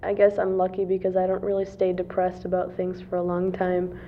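A woman's voice: lowish voice, monotone, brisk, a little hiss or hum. A woman in her twenties, a college student answering interview questions casually, with many fillers and restarts. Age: 20s. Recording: tinny phone-like lo-fi recording.

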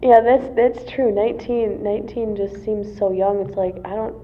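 A woman's voice: medium pitch, fairly even intonation, moderate pace. A woman in her twenties, a college student answering interview questions casually, with many fillers and restarts. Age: 20s. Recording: tinny phone-like lo-fi recording.

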